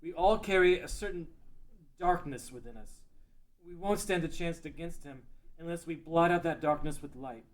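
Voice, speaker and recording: A man's voice: a little high, normal speed, natural voice. A man playing a grave old sage and advisor in a table read of a fan script. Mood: solemn.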